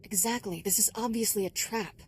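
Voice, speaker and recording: An actress playing a spirited, guarded young Jedi apprentice in a video game, speaking bluntly with a wry edge. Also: female voice, mid-range voice, brisk, slight background noise, lightly acted. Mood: suspicious. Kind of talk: dramatic video game acting.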